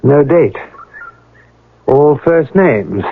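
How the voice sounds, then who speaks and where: An actor playing a shrewd, methodical police inspector in a radio mystery drama, deliberate and musing, with polite authority. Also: male voice, on the high side, expressive, gravelly, husky, full character voice. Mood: inquisitive, musing. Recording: lo-fi old radio broadcast recording.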